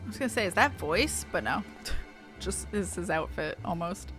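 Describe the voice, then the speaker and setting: A woman's voice: on the low side, animated, normal speed, fairly bright tone, soft background music. A woman streaming a game, giving casual commentary as she plays.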